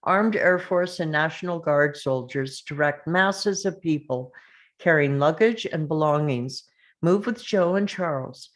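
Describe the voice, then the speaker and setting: A woman's voice: sits low, measured pace. A woman reading stage directions as the narrator of a screenplay table read.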